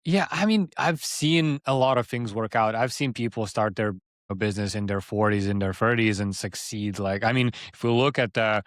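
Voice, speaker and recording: A man's voice: fairly low pitch, normal speed, no room sound, clean studio sound. A man in his thirties talking casually as an interview guest, often rephrasing mid-sentence as he explains himself. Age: 30s. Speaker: interview guest, agency founder.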